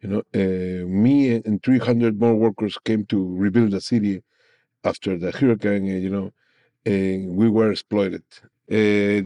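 A man from Peru with a Peruvian Spanish accent, a native Spanish speaker, recounting his experience haltingly in an interview. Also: medium pitch, warm tone, no room sound. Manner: halting, repeats words, often says "you know". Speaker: interview guest, former migrant worker. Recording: dull, slightly muffled, dry audio.

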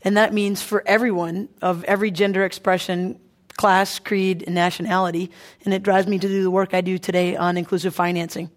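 A woman's voice: lowish voice, little pitch movement. Earnest woman panel guest. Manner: earnest and deliberate. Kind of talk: prepared panel answer.

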